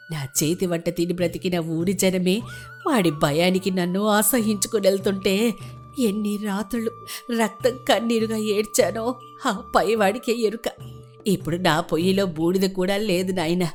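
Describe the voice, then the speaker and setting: A woman's voice: medium pitch, darkish tone, natural voice. A woman voicing a kind, sorrowful old village grandmother in a plaintive way for a dramatised moral story. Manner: plaintive, endearing address to the listener.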